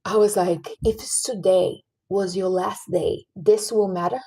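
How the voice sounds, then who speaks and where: A woman's voice: medium pitch, steady tone, measured pace, mellow, slight background noise, low-quality recording. A woman in her late thirties, chatting casually on a livestream and earnestly giving life advice to her viewers. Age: 30s. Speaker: livestream host.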